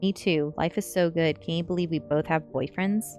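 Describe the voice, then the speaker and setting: A woman's voice: deep voice, steady tone, moderate pace, a little mellow, soft background music. Eager, lovestruck teen girl acted by a woman. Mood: happy, surprised. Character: eager, lovestruck teenage girl best friend.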